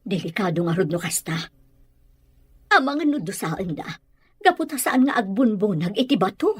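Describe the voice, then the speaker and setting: A woman playing a worried, cautious village mother with a husky voice, in a Filipino radio drama. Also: mid-range voice, big pitch swings, moderate pace, no room sound.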